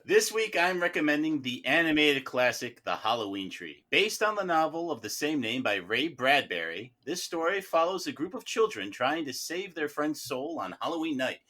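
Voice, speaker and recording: A man's voice: on the high side, sing-song, moderate pace, hardly any pauses, sharp tone. A man on a podcast panel giving a prepared-sounding recommendation during casual chat.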